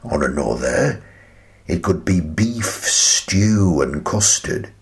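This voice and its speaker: A gentle, eccentric older British man, played by an actor, speaks in a deep voice at a very unhurried pace. He rambles wistfully through a radio play monologue, pausing and hesitating as he reflects. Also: animated, gravelly, full character voice. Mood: playful. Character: gentle, wistful, eccentric old grandfather living in a playhouse.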